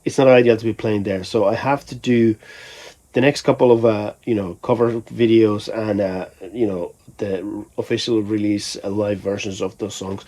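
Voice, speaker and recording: Male vlogger from Finland, lowish voice, unscripted and conversational, wandering through his thoughts as he speaks. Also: fairly even intonation, moderate pace, warm tone. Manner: rambling, conversational, thinking aloud. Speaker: musician vlogger. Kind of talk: casual vlog talk.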